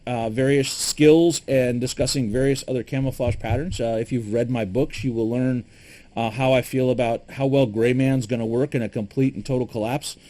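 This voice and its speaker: Middle-aged man, Michigan accent, warm mellow tone, relaxed matter-of-fact gear talk with frequent fillers. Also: medium pitch. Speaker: preparedness gear reviewer. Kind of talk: casual gear demonstration.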